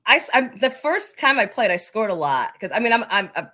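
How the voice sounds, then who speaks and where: A woman's voice: a little high, very animated, brisk, sharp tone. A woman with an American accent talking casually as an interview guest. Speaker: podcast guest, coaching organization leader.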